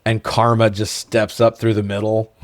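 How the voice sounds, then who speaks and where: A man's voice: fairly low pitch, monotone, normal speed, dry recording, clean studio sound. A man co-hosting a podcast, chatting casually about films in a slangy, profane, joking way. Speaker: podcast co-host reviewing films. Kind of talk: casual banter, film commentary.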